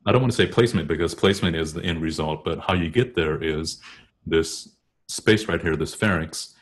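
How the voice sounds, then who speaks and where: Low-voiced man explaining vocal technique. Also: brisk. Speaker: guest singer and choral bass. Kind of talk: informal technical explanation in an interview.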